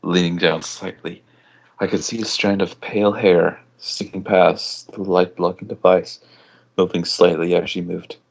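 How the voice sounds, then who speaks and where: Male amateur fiction reader, low-pitched with heavy fry. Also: flat delivery, unhurried, muffled recording. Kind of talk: amateur dramatic story reading.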